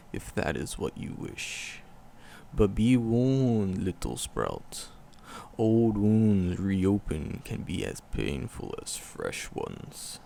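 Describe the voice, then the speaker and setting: A man voicing an ancient, hulking forest demon, tender and wistful, in a gravelly, poetic, slow-phrased ASMR roleplay. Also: on the low side, dark tone, a little hiss or hum, full character voice. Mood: solemn warning. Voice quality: gravelly, growling creak. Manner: slow, poetic, storybook phrasing with dramatic pauses.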